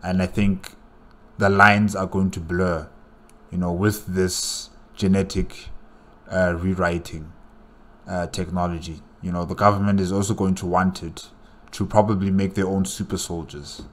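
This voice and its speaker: A man with a low voice hosts a casual reaction video. He speaks off the cuff in a halting way, circling back over phrases as he works out his thoughts. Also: level tone, fairly bright tone. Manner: halting, thinking aloud, repeats phrases. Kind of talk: casual unscripted reaction commentary.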